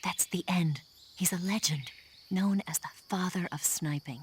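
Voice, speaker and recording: Female spy on radio support in a game; low-pitched, soft and steady. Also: unhurried, a little hiss or hum.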